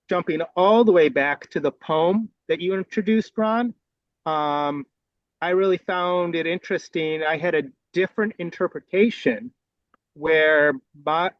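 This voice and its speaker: A man sharing reflective thoughts in a group discussion, measured, with many pauses. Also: fairly high pitch, no room sound, highs cut off. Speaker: discussion participant.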